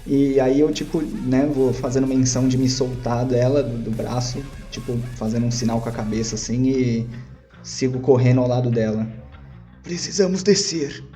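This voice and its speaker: A man with a mellow voice narrates his actions in a tabletop roleplaying game. He is wordy and articulate, often refining his phrasing, with quiet music behind him. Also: mid-range voice, little pitch movement, normal speed. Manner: wordy, self-correcting, articulate. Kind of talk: improvised first-person game narration.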